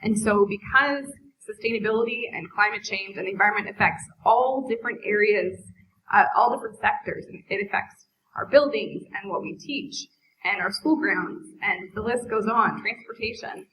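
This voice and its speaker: A woman on school board sustainability staff, explaining her work briskly on a panel. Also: mid-range voice, a bit bright, rough recording. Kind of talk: panel talk.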